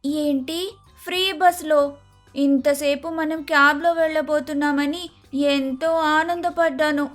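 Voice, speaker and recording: An eager, naive young daughter-in-law in a cartoon moral tale, voiced by a woman. The voice is very high, chatty and full of exclaimed questions. Soft music plays behind it. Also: fairly even intonation, slow. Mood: surprised, complaining. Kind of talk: dramatised moral story voice acting. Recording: music under the voice.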